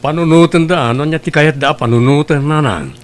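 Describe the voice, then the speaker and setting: A man's voice: a little high, a little fast, lightly acted. A man playing a carefree, flippant young womanizer in an Ilocano family audio drama. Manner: flippant, nonchalant. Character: carefree, cocky young womanizing playboy son. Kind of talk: Ilocano radio-style family drama acting.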